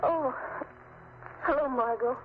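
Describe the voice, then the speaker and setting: A woman's voice: sits high, takes it slowly. An actress playing an anxious, tearful young woman in an old radio drama. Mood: fretful. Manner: tearful, fretful. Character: anxious young woman seeking her fiancé. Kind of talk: 1930s radio acting. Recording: tinny, hissy old radio broadcast.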